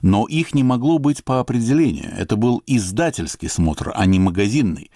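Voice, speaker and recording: A male host reading a measured, literary narration and then putting a question to a guest. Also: medium pitch, animated, dark tone, dry recording, clean studio sound. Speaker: radio program host. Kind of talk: scripted narration then interviewing.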